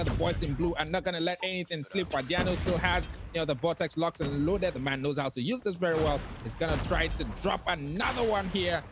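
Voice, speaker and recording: A man casting an esports match with excitable play-by-play, full of fillers and restarts. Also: fairly high pitch, noisy background. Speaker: esports caster. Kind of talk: play-by-play commentary.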